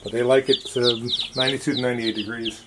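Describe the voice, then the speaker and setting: A man's voice: medium pitch, little pitch movement, fast talker. A man speaking casually and plainly as a farmer, explaining things off the cuff in a folksy way outdoors. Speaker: farmer presenting how-to video. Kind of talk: casual unscripted demonstration. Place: outdoors on a farm, concrete patio and pens. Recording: noisy farm recording with chirping birds. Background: loud bird chirps, clucking, clicks.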